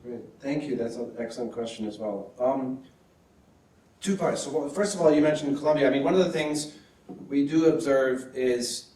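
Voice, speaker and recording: A man's voice: mid-range voice, normal speed, warm tone. A man, an author, answering audience questions in an academic talk, thoughtful, often checking with "right?". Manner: thoughtful, frequent "right?" tags. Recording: roomy, mic at a distance.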